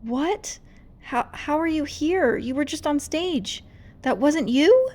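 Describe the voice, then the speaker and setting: A desperate young half-demon girl from a storybook, acted out by a woman reader. Her voice is pushed high and loud, frantic and breathy as she pleads. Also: animated, takes it slowly. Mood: bewildered.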